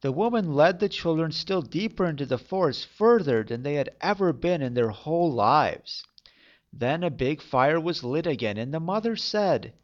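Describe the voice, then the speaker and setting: A man's voice: a little high, expressive, moderate pace, muffled recording. A man narrating a fairy tale as a storyteller, reading expressively.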